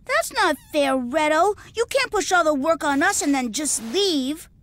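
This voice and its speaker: A woman's voice: high voice, expressive, measured pace, a bit bright. A woman voicing a spunky, outspoken young boy in a video game, blunt and grumbling. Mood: indignant. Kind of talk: video game cutscene voice acting.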